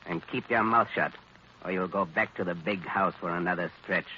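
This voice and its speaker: Deep, calculating crime boss played by a man. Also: a little fast, lightly acted. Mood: stern orders. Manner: smooth-talking, threatening, controlled. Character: cold, calculating male crime boss and crooked lawyer. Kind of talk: 1940s radio acting. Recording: muffled, hissy old radio recording.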